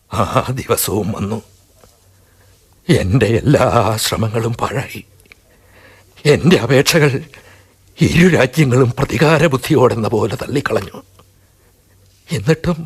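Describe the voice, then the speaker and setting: A man's voice: mid-range voice, animated, slow pace, long pauses, husky, full character voice. A man playing a desperate husband in a radio drama, who pleads and sometimes rages. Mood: narrating sorrowfully. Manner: emotional, pleading, sometimes outraged. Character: desperate husband, middle-aged schoolmaster. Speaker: husband and narrator.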